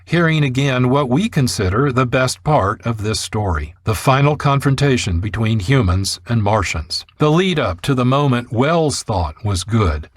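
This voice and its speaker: A man's voice: mid-range voice, animated, moderate pace, hardly any pauses, no room sound. A man reading scripted narration as the host of a radio program, on a close studio mic.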